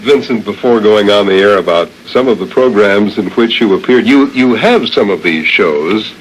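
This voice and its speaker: A man interviewing on the radio in a measured, deliberate, conversational way, on an old tape. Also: fairly low pitch, expressive, a little hiss or hum, poor audio.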